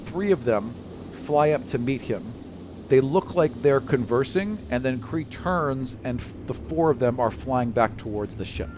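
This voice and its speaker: A man's voice: medium pitch, lively intonation, a little slow, background noise. A man acting as game master, narrating an improvised fantasy scene and chatting with his players. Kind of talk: improvised tabletop game narration and table banter.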